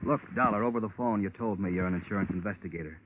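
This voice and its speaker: An actor playing a bitter, menacing ex-convict bent on revenge in an old radio crime drama, making cold, deliberate threats. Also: male voice, low-pitched, natural voice. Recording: tinny, noisy old radio recording.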